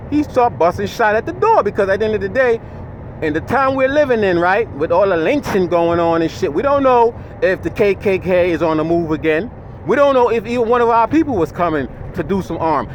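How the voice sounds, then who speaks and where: A man's voice: sits high, expressive, fairly quick. A man with a Jamaican accent ranting profanely to his viewers while driving, often stumbling and repeating words, with faint road noise from his vehicle. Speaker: vlogger. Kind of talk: ranting commentary to camera. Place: inside a large vehicle, driving.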